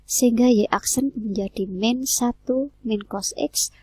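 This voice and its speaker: A woman, a math teacher giving a lesson in steady step-by-step narration with a breathy, whispery voice. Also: a little high, measured pace, dry recording.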